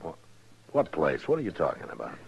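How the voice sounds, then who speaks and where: An actor playing a gruff, long-suffering marshal in a radio western, terse and dry in manner. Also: male voice, a bass voice, wildly swooping pitch, brisk, darkish tone, full character voice. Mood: annoyed, dry. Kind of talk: old-time radio western acting. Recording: faintly noisy old radio recording.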